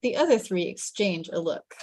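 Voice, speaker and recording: A woman's voice: medium pitch, animated, normal speed, a little mellow, no room sound. A woman reading stage directions as scripted narration in a table read.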